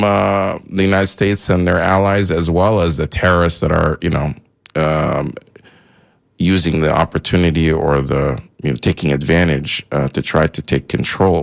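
A man from Egypt, Arabic his first language, hosts a call-in radio show. His voice sits very low with a gravelly fry. He rambles, backtracks and leans on fillers as he talks things through. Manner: rambling, many fillers and restarts.

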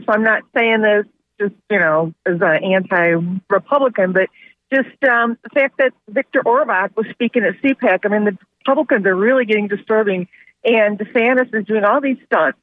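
A woman's voice: on the high side, no room sound. A woman phoning in to a radio show, giving a casual, unscripted opinion over a telephone line. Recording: dry, narrow telephone line.

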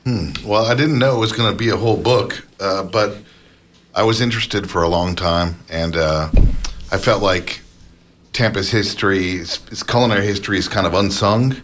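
A man's voice: fairly low pitch, moderate pace, a bit bright, a little hiss or hum. A man answering interview questions as an author and researcher, conversational and somewhat hesitant as he thinks aloud. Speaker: author guest, food history researcher.

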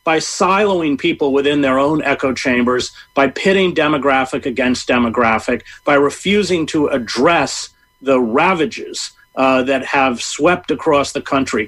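A middle-aged man giving long, measured interview answers, full of hesitations and fillers. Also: mid-range voice, fairly bright tone. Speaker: interview guest, journalist. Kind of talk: interview answer, political commentary.

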